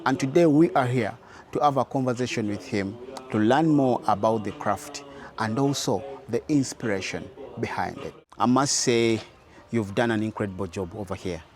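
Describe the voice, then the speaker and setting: A man's voice: on the low side, normal speed, a little mellow. Man hosting an interview. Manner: brief affirming murmurs, formal phrasing. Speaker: interviewer, host. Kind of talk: interview introduction and prompts.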